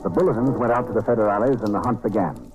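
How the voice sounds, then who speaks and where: An actor playing a shrewd American investigator in an old-time radio detective drama, speaking in a clipped, decisive, matter-of-fact way. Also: male voice, on the low side, expressive, quick pace, loud music behind the voice, close to an everyday voice, poor audio. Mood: grim storytelling. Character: hard-boiled American male investigator, shrewd and decisive, narrating his case. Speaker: lead actor and narrator.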